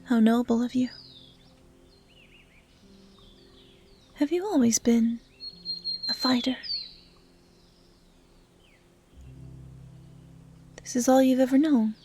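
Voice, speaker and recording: Woman acting as a gentle forest dryad, high mellow voice, soft and whispery, naive curiosity, measured delivery, audio drama roleplay. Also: breathy edge, soft background music. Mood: soft, earnest. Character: curious, gentle female dryad, guardian of the woods.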